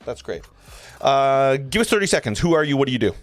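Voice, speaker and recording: A man's voice: mid-range voice, very animated, a little fast. A man hosting a livestream in casual chat, rambling and joking as he goes. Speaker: livestream host.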